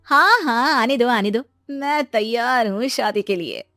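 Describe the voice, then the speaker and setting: Lady doing a chirpy outspoken young girl from a cartoon drama, really high and bouncy. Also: expressive, measured pace, bright, forceful tone, no echo, studio quality, faint music behind, exaggerated voice. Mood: excited. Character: spirited, free-thinking young woman about to marry.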